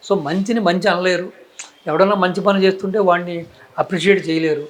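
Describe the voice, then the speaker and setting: A man with a distinctly high voice and a Telugu accent speaks as a political commentator. He argues his opinions emphatically, throwing out rhetorical questions and stacking points in repeated phrases.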